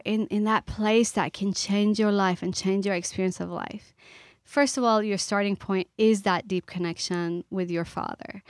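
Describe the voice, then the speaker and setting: A woman's voice: medium pitch, no echo, studio quality. Thoughtful middle-aged woman from Iran. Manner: earnest, thoughtful, pausing with fillers. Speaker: author and guest. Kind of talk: reflective interview talk.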